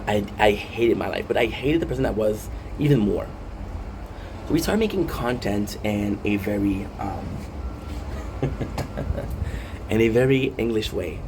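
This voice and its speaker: A man from Quebec, speaking English with a French Canadian accent, chats in a loose, wandering way over a game, full of ums and restarts. His voice sits a bit low. An air-conditioning hum runs clearly behind him. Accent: Québécois French. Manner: rambling, many restarts and fillers. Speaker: gaming streamer. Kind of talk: casual rambling talk while gaming.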